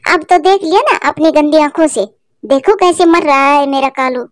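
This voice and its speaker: A woman's voice: moderate pace, no echo, clean studio sound, natural voice. A woman voicing a bossy, greedy female crow in a cartoon. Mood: scheming. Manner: shrill, bossy.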